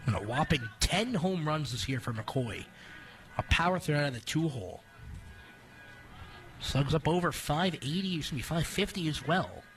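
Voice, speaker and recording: A man's voice: a little high, big pitch swings, moderate pace, a bit bright, recorded from a distance, faint music behind. A man giving conversational, explanatory color commentary on a radio baseball broadcast. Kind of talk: analytical radio sports commentary.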